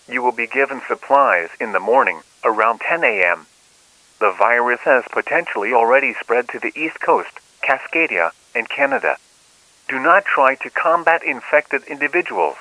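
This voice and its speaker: A man's voice: medium pitch, moderate pace, dry recording, poor audio. A man playing a grim government emergency announcer, reading scripted warnings in a stern, official way over a radio. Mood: stern, urgent. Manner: stern, flat, official delivery.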